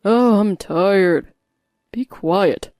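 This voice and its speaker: A woman's voice: medium pitch, drawn-out words, darkish tone, no room sound, faint music behind. Woman doing an arrogant husband from a drama story, brusque n bossy, dismissive, really dry audio. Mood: irritated.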